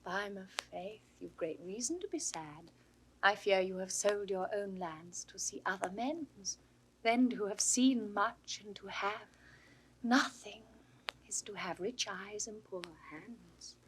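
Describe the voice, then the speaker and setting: An actress playing a witty young woman posing as a youth, teasing and mocking in brisk, articulate classical stage speech for a 1960s audio drama. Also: mid-range voice, a crowd in the background, lightly acted, rough recording. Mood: dry teasing. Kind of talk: classical Shakespearean stage acting.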